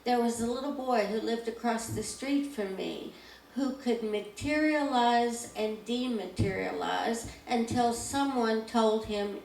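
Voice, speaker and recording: A woman's voice: fairly high pitch, vocal fry, a little mellow. A woman on a discussion panel, telling anecdotes in a reflective way. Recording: echoey room, distant mic, faint noise.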